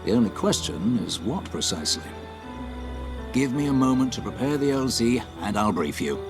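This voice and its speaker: An actor playing a seasoned British military scout in a game cutscene, polite, dry and understated. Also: male voice, mid-range voice, very animated, moderate pace, close to an everyday voice. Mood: brisk, concerned. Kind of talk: video game cutscene dialogue, mission briefing. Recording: music playing behind the voice.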